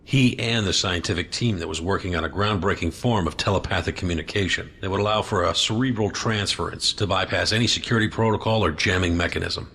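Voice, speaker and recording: A man's voice: deep voice, fast talker, hardly any pauses, sharp tone, slight background noise. An actor playing a blunt, stern male chief of a secret agency's internal affairs division, speaking in a clipped, authoritative way. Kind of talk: audio drama acting.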